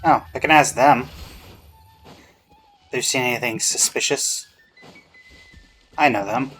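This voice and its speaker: A man's voice: mid-range voice, slow, a bit bright. A man giving casual playthrough commentary, musing to himself and sometimes reading game text aloud. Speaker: gaming reaction streamer. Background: game music.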